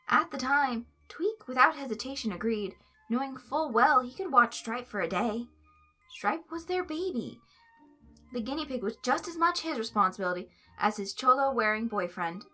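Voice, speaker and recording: A woman narrating a story aloud in an expressive, scripted reading style. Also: fairly high pitch. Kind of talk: expressive fan fiction reading.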